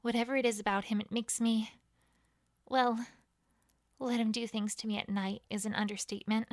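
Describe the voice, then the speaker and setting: A woman's voice: on the high side, level tone, normal speed, a little mellow, no room sound, clean studio sound. A woman reading fan fiction as first-person story narration, in character as a proud pony queen.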